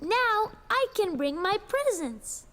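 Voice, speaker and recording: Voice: very high-pitched, very animated, takes it slowly, heavily acted. A performer voicing a child reciting a part in a school Christmas play in a cartoon. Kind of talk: scripted children's cartoon acting. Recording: music behind, slightly distant.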